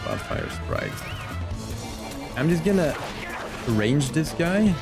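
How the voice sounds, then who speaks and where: Playful male game streamer, lively pitch. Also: slow pace, gravelly, over loud music. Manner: playful, chatting to viewers while playing. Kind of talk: casual live game stream chat and commentary.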